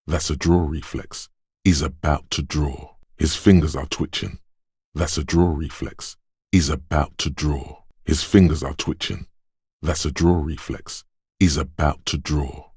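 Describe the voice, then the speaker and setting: A watchful inner voice of reflex and wit for a video game. It is exceptionally deep and sits in a very dry, close space. The narration is deliberate and dramatic, addressed straight to the detective whose head it lives in. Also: male voice, darkish tone, no echo, heavily acted. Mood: urgent, alert. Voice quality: very deep, smooth, resonant.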